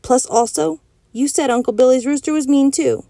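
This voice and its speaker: A woman's voice: sits high, normal speed, gravelly, warm tone, dry recording, studio quality, voice pushed up. A woman voicing a spirited, stubborn little girl who explains things bluntly in childish grammar. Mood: insistent.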